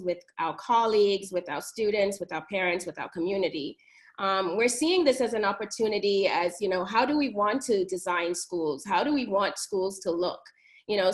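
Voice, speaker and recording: Woman school principal from New York, earnest and measured, panel webinar over a video call, mid pitch. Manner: measured, earnest, frequent ums.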